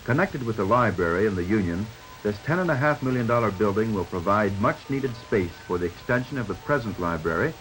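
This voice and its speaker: A man's voice: fairly low pitch, lively intonation, a little fast, hardly any pauses, a bit bright, low-quality recording. An American man reading scripted narration for a 1950s newsreel. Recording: 1950s newsreel soundtrack with music behind. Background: background music.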